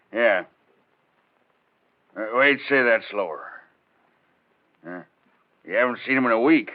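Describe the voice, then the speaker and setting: Gruff desk cop, 1940s radio style. Slow, tired authority, dull vintage broadcast sound. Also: male voice, on the low side. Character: gruff, world-weary male police desk captain. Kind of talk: 1940s radio drama acting.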